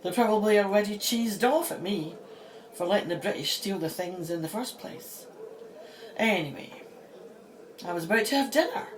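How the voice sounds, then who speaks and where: An actress playing a feisty, beleaguered Egyptologist who exclaims and mutters to herself in an audio drama. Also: female voice, mid-range voice, lively intonation, moderate pace, pauses often, noisy background, natural voice. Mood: wry, puzzled. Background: music.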